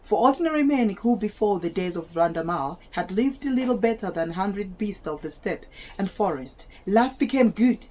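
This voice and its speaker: A woman's voice: medium pitch, darkish tone, rough recording. Woman with a South African-Malawian English accent. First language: not English. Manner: stumbles over words and repeats them, exclaims in wonder. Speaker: vlogger reading aloud. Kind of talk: reading a history book aloud with asides.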